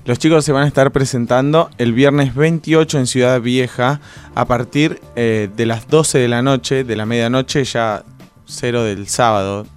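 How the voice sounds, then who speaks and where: A man's voice: medium pitch, fairly even intonation, a little slow, faint music behind. A man, presenting and interviewing as a radio host.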